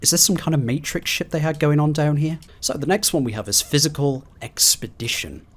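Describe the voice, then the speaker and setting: A man doing video game commentary and reading in-game documents aloud in a theatrical, expressive way. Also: fairly high pitch, a little fast. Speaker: gaming video host.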